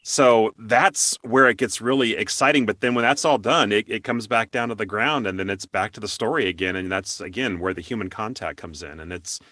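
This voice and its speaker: Bright-voiced man hosting a casual interview. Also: fairly low pitch, fairly quick, hardly any pauses, no room sound. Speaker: interview host.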